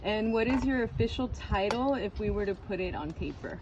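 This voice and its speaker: A woman interviewing a guest, asking short, direct questions at a mid pitch. She mostly prompts with quick "okay"s and "wow"s. There is clear noise in the background. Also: lively intonation, dull audio. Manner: brief prompting questions and affirmations.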